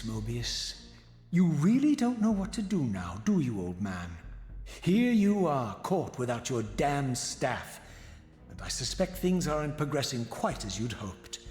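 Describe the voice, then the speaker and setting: An actor playing a tormented wraith in a video game, bitter, defiant and sardonic, with formal theatrical diction. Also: male voice, mid-range voice. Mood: mocking. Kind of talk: dramatic video game cutscene acting. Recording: echoey hall reverb with music behind.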